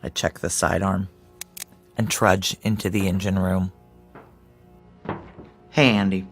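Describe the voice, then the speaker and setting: A woman's voice: rumbling low, fairly even intonation, slow pace, soft background music, full character voice. An actress playing a tough, weary female security chief who narrates a sci-fi thriller audio drama in clipped phrases. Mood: grim, subdued. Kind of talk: first-person audio drama narration and dialogue.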